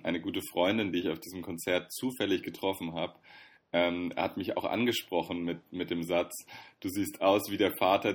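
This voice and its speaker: Deep-voiced young man, halting casual talk. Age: young adult. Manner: hesitant, many fillers and restarts, wry. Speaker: podcast co-host. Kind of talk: casual chat.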